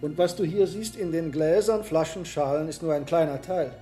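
An actor playing a learned, hesitant medieval monk herbalist in a radio drama, speaking in a deliberate, musing way. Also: male voice, a little high, warm tone, faint music behind, close to an everyday voice. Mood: lecturing. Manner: deliberate, musing, with reflective pauses. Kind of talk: German radio drama acting.

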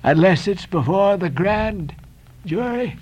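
A man voicing an uncanny, cracked old sailor-prophet in an audiobook, teasing and cryptic, with drawn-out repetitions in seaman's dialect. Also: high voice, animated, a little mellow, slightly rough, no echo, muffled recording, pitched above the performer's own voice, exaggerated voice. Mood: cryptic, muttering.

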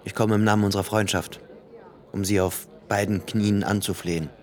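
A male actor plays a young military musician with a fairly low voice. He is earnest and naively coquettish, with a pleading manner suited to literary radio drama. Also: little pitch movement, moderate pace, darkish tone, close to an everyday voice.

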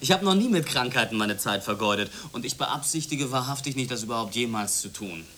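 An actor playing a sardonic, boastful drifter with a wry, domineering manner in a radio play. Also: male voice, mid-range voice, lively intonation, fairly quick, sharp tone. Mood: boastful, deadpan. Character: cocky, sardonic drifter and dealer, domineering toward women. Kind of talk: German radio play acting. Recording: noisy 1980s radio play recording.

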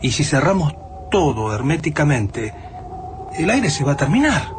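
An actor playing a fatalistic, despairing survivor in a radio drama, anxious and defeatist. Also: male voice, fairly high pitch, very animated, normal speed, slight background noise.

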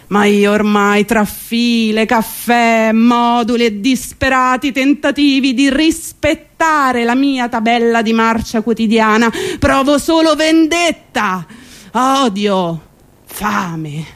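An actress playing an exasperated, sardonic commuter worn down by city life, in a theatrical radio monologue with ironic, emphatic delivery. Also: female voice, a little high, lightly acted. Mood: indignant, bitter. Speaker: stage actress.

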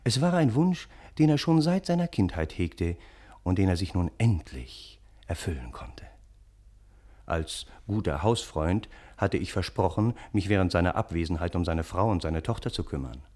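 An actor playing a respectable, courteous gentleman who narrates a German radio play as a family friend in measured speech. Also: deep voice, lively intonation. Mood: genial storytelling.

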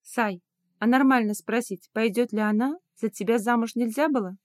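A woman's voice: on the high side, normal speed, a little mellow, dry recording, clean studio sound, lightly acted. A woman voicing a blunt, informal young princess heroine in an audiobook, outspoken and easily exasperated.

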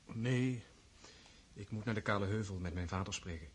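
A man's voice: fairly low pitch, normal speed, a little hiss or hum, natural voice. An actor playing an earnest, lovestruck prince in his thirties in a radio play, fervent and sometimes hesitant. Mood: earnest, resolute.

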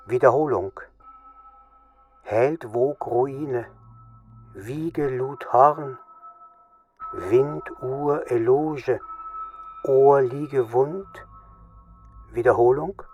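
An actor playing a word machine in a radio play, reciting cryptic verse in an incantatory manner. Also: male voice, mid-range voice, huge pitch swings, drawn-out words, pauses often, muffled recording, exaggerated voice. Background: music.

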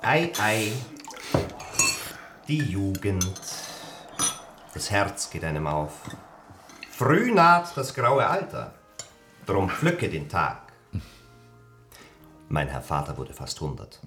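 Ageing florid reciter, low, very unhurried, solemn radio drama declamation in a roomy space. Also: male voice, wildly swooping pitch, music in the background, full character voice. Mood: sentimental. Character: florid, sentimental ageing male poetry reciter.

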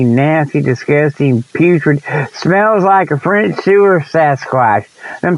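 A high-pitched man delivers a rambling comedic radio monologue. He talks in a folksy, repetitive manner, as host of his own segment. The sound is dull and lo-fi. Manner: folksy, repetitive, frequent okay and you know. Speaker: host of a comic report segment.

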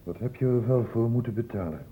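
An actor in a Dutch radio play voicing an earnest, insistent doctor who questions in a measured, formal way. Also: male voice, mid-range voice, lively intonation, dark tone, breathy edge. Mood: urgent, insistent. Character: earnest, insistent male doctor investigating an infection outbreak. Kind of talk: Dutch radio drama acting. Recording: muffled phone-like old radio recording.

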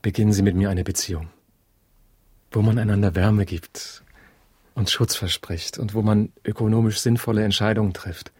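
A man's voice: lowish voice, steady tone, normal speed, darkish tone, husky, no echo. An actor in a German radio drama playing an obsessive, pedantic abandoned husband who insists on his ideas with great earnestness. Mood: insistent, pleading.

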